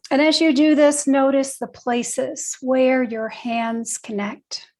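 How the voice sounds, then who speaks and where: An older woman leading a guided meditation, speaking gently and leaving long pauses between short phrases. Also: sits high, fairly even intonation. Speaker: guided meditation teacher, nurse and educator.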